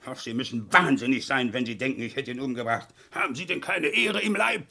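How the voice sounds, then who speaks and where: An actor playing a proud, bitter war veteran who is indignant and defiant, in a theatrical German crime radio play style. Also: male voice, medium pitch, brisk, creaky, bright, forceful tone, husky, full character voice. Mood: wounded pride. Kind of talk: German crime radio play acting, mid to late 20th century.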